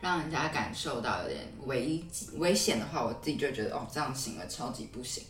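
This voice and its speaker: A woman's voice: very deep voice, big pitch swings, fast. A young woman with a Taiwanese Mandarin accent co-hosting a podcast in casual conversation, recorded with a roomy echo. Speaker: podcast co-host.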